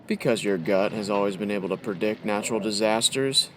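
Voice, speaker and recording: Man as a low-voiced hard-boiled newsman. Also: fairly quick, a bit bright, a little hiss or hum, close to an everyday voice. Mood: confident. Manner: terse, hard-boiled slang. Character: tough, cool 1940s crime photographer hero. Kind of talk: 1940s radio crime drama acting.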